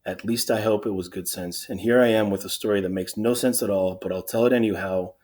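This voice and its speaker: Man reading as a nervous, pleading sentry, lowish voice. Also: fairly even intonation, darkish tone, close to an everyday voice. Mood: nervous rambling. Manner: halting, wordy, pleading. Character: anxious, rambling sentry, pleading and self-excusing soldier. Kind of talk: dramatic reading of Greek tragedy.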